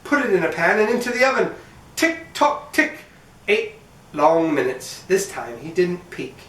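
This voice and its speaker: A man narrates a picture book for children in a playful, expressive way. His pitch moves around a great deal as he reads. The sound is echoey and slightly away from the mic. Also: unhurried. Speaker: host reading aloud to children. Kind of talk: animated storybook narration. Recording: roomy echo, mic at some distance.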